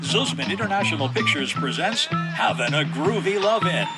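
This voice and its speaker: Hyped sixties movie trailer announcer, loud music. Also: male voice, sits high, sing-song, moderate pace. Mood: hyped, selling. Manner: hyped, punchy showman delivery. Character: brash 1960s drive-in movie trailer announcer, hip salesman.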